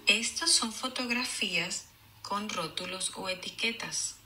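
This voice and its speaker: Woman, teacher's read-aloud, slow and deliberate, very bright forceful tone, faint background noise. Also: medium pitch. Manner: deliberate, explanatory, enunciating for young learners. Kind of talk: children's read-aloud lesson.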